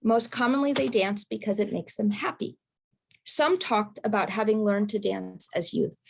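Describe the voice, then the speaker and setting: A woman reads a prepared research paper aloud over a video call. Her voice sits in a middle pitch with a gravelly, fry-tinged edge. She keeps a careful, measured pace. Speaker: academic presenter. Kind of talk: reading a prepared research talk.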